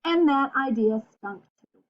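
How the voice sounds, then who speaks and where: A woman's voice: high-pitched, lively intonation, unhurried. A woman reading a children's picture book aloud in a playful manner, putting questions to her young listeners. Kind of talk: animated children's read-aloud with asides to the listener. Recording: dull, lo-fi sound.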